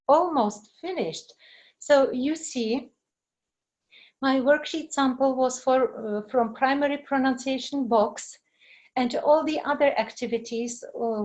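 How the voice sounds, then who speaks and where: A middle-aged woman with a Czech accent, a native Czech speaker, teaching in an informal webinar with halting, searching delivery. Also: a little high, a little mellow. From Czech Republic. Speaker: language teacher giving a webinar. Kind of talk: informal lecture with explanations and answers.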